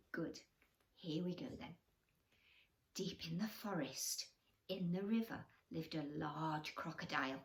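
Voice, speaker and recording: A woman's voice: lowish voice, a bit bright. A woman storyteller reading a bedtime story expressively to children, with questions and asides to the young listeners.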